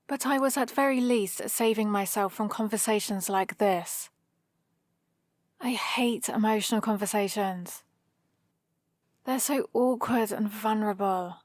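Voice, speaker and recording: A British woman playing a guarded female supervillain who is awkwardly soft on her hero, in a hesitant, defensive ASMR roleplay style. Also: mid-range voice, no room sound, clean studio sound, close to an everyday voice. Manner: hesitant, defensive, softly exasperated.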